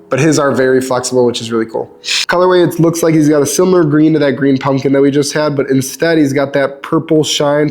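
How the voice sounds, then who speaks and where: A young man with a stuffed-up nose, casually reviewing fishing lures in a relaxed, chatty way with lots of ums. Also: medium pitch. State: stuffed up, sniffly. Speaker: lure reviewer.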